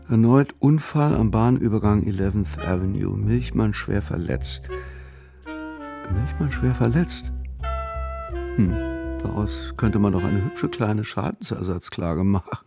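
Scheming young lawyer muttering to himself, gravelly low voice, radio play w music behind. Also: male voice, a little slow, long pauses. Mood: calculating, eager. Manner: muttering, self-interrupting, thinking aloud. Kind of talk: radio play acting, interior monologue.

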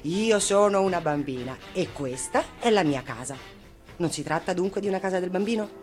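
A woman voicing a cheeky, fearless nine-year-old girl in a storybook reading, playful and defiant, quick to exclaim. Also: fairly low pitch, animated, a little mellow, soft background music, pitched above the performer's own voice, close to an everyday voice.

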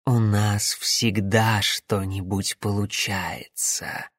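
A man voicing a haughty, menacing inhuman warrior creature in an audiobook, with a soft, lulling, singsong and condescending delivery. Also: on the low side, steady tone, dry recording, clean studio sound, voice pushed down, full character voice. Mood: haughty, threatening. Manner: slow, hypnotic, condescending.

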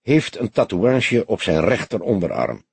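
A man's voice: lowish voice, very animated, measured pace, creaky, husky, dry recording, exaggerated voice. A man voicing a young detective in an audiobook, an earnest, grumbling junior colleague. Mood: shocked, stammering. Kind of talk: audiobook character voice.

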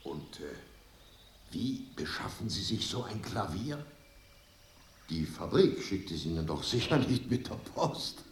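A man's voice: fairly low pitch, reverberant, slight background noise. A man playing a brash, nosy, jovial American businessman in a German radio drama, talking in a blunt, chatty way.

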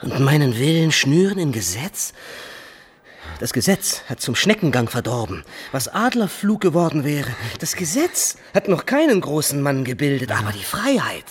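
Fiery young rebel, highish voice. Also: male voice, very animated, moderate pace, slightly rough. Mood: defiant. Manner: impassioned, declamatory. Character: fiery, proud, idealistic young nobleman turned rebellious student. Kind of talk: German radio play acting.

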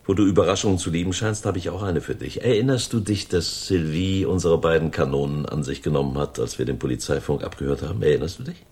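An actor playing a self-assured, calculating gangster in a radio crime drama, condescending and quietly threatening. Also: male voice, low-pitched, fast talker, hardly any pauses, husky. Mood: menacing. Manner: smug, controlled, threatening.

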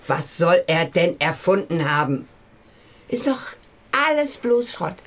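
An actress playing a blunt, dismissive village widow who talks curtly and colloquially in a radio play. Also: female voice, on the low side, very animated, takes it slowly, heavily acted. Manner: curt, colloquial, disparaging. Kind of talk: German radio play acting.

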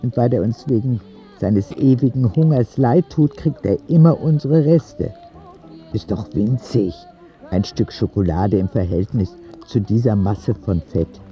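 A man's voice: lowish voice, a little slow, lo-fi sound, faint music behind, lightly acted. A man playing a frank, cheeky ten-year-old boy who tells his story in candid, wry audio drama narration, in standard German. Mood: cheeky, matter-of-fact.

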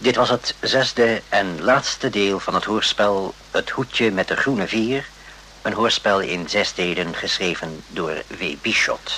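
Male radio announcer, formal scripted credits. Crisp bright tone, unhurried delivery, faint noise. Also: fairly low pitch. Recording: slightly noisy old radio recording.

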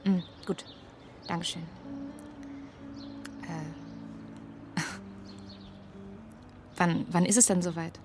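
An actress playing an awkwardly friendly police officer in a naturalistic radio drama, questioning gently and hesitantly. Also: female voice, lowish voice, level tone, drawn-out words, pauses often, music in the background. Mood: polite, probing.

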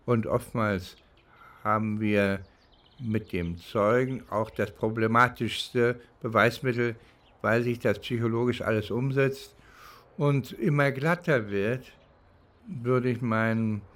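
An older man from East Germany recounting his legal experience reflectively, halting and pausing between phrases. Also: medium pitch, fairly bright tone. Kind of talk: reflective spoken recollection, interview-like.

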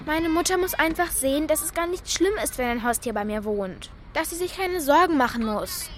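A woman's voice: high-pitched, animated, a little fast, fairly bright tone, slight background noise. A female performer playing a persistent, wheedling schoolgirl who wants a pet, in a children's audio drama. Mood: pleading. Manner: wheedling, pushy.

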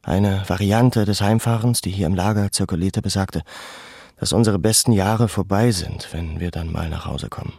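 A man playing a brooding, weary former camp deportee in a reflective, measured radio drama monologue. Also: deep voice, creaky, a little mellow, slightly rough, no echo, clean studio sound, full character voice. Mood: reflective, resigned.

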